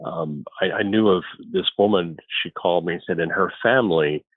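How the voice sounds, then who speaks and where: A very low-voiced man talks thoughtfully as an interview guest. He often repeats a word or two before a thought settles. His voice comes through a thin, phone-like line with no room around it. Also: normal speed, dry recording. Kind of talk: relaxed conversational interview. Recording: tinny phone-like line, dry.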